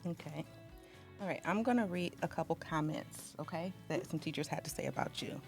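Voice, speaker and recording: Low-voiced woman interviewing a student. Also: brisk, gravelly, faint music behind. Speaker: assistant principal, interviewer. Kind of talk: school video interview.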